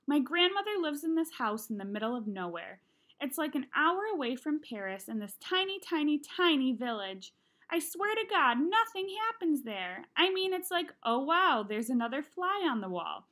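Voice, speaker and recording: A woman's voice: high-pitched, sing-song, moderate pace, a bit bright, voice pushed up. A woman reading the part of a bored, scornful rich schoolboy from a children's novel, sarcastic and slangy. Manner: sarcastic, dismissive.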